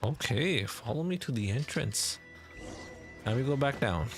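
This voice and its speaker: A man's voice: mid-range voice, lively intonation, measured pace, music in the background. A young man giving casual, slangy livestream commentary as he plays a game, reacting aloud.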